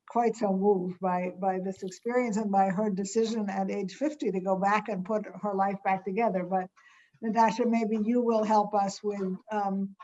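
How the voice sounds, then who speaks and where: A woman's voice: mid-range voice, dark tone, dull audio, a little hiss or hum. A woman from the United States moderating a webinar in a chatty way, over a video call. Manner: chatty, with restarts.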